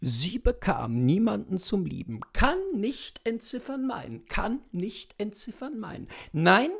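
A woman's voice: medium pitch, very animated, takes it slowly, vocal fry, husky. A woman gives a mock-serious, declamatory dramatic recitation of lyrics as poetry, drawing out her words. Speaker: reader of literary texts. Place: indoors, room with bookshelves.

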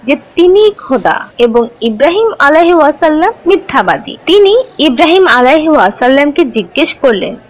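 A woman narrating a scripted children's story in an expressive, teacherly way. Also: high-pitched, moderate pace, few pauses, low-quality recording. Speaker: narrator of a children's religious cartoon.

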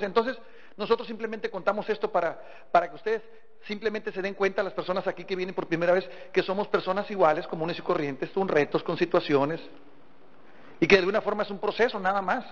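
A man with a northern Mexican accent gives a folksy motivational talk, telling stories and putting rhetorical questions to his listeners. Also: sits high. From Sinaloa, northern Mexico. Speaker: motivational business seminar speaker. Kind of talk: motivational talk to a live audience. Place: large seminar hall before an audience. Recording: dull, echoey hall recording.

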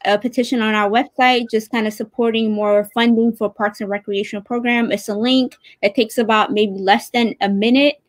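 A woman's voice: on the high side, fairly even intonation, moderate pace, hardly any pauses, dry recording. A woman casually hosting a livestream over a video call from indoors. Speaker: host, campaign director. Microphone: video call mic.

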